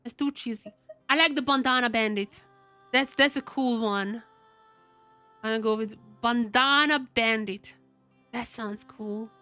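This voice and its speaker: A woman game streamer with a very bright, fairly high voice, slightly worn by a cold. She chats casually to her viewers as she plays, repeating phrases while she thinks aloud. Game music plays behind her. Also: measured pace, pauses often. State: getting over a cold, voice wearing out. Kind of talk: casual live gameplay commentary to chat.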